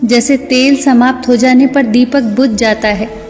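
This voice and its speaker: Female narrator for inspirational quotes, measured scripted delivery, drenched in hall reverb over a music bed. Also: a little high, steady tone, darkish tone.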